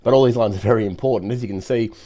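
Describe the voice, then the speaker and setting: A hoarse man who has nearly lost his voice, giving brisk trading chart commentary with many fillers. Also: fairly low pitch, warm tone. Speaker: market analyst host.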